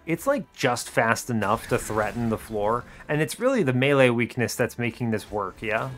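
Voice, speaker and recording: A man's voice: mid-range voice, normal speed, slight background noise. A man giving casual, explanatory video game commentary in an informal way, often checking in with the listener. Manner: informal, animated bursts, checks in with rhetorical "right?" and "okay?". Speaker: video game streamer, series host.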